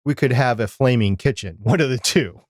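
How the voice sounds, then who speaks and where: A man's voice: medium pitch, a little fast, no echo. Chatty man co-hosting a podcast, dry close sound. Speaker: podcast co-host. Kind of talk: casual podcast banter.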